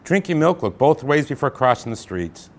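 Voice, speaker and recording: Man, show host, old-time announcer, jokey and folksy, lively sing-song pitch. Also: normal speed. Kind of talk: scripted show sign-off.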